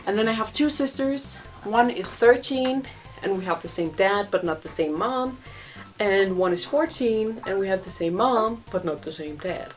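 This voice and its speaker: A woman's voice: medium pitch, moderate pace, faint music behind. Chatty young Danish woman. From Denmark. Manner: chatty, rambling, many fillers and laughs. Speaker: vlogger. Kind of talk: casual Q&A vlog.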